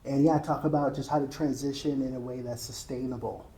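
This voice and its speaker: A man's voice: mid-range voice, steady tone, fast talker, mellow, low-quality recording. A man from California with a Californian American accent, telling stories casually as an interview guest in an informal way, often checking in with "right". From California, United States. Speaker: interview guest, travel content creator.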